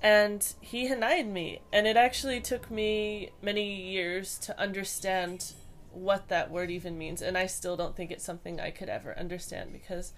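A young woman from Hawaii, a podcast guest answering interview questions in a hesitant, thoughtful way with many pauses and restarts. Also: mid-range voice, steady tone, slight background noise.

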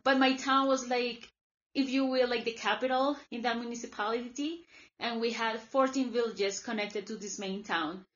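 A woman's voice: fairly high pitch, steady tone, moderate pace, fairly bright tone, highs cut off. A woman in her thirties from Colombia, a native Spanish speaker, telling her story in a casual interview with lots of filler words. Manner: chatty, many "like" fillers. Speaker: guest, former volunteer.

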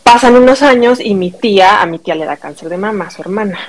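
A woman's voice: mid-range voice, fast. A middle-aged Mexican woman, a podcast guest telling a personal story in casual conversation, acting out what people said.

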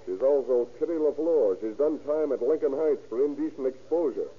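An actor playing a shrewd police captain in an old radio crime drama, husky, clipped and commanding. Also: male voice, mid-range voice, normal speed, lightly acted. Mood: pressing, accusing. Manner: clipped, commanding, probing questions. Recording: thin, tinny old radio recording.

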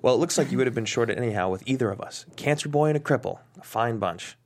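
A man acting as a jealous, bitter husband in a screenplay drama. His voice is medium in pitch, and he keeps the delivery understated and sardonic. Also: lively intonation, fast, lightly acted. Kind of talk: table read of a screenplay.

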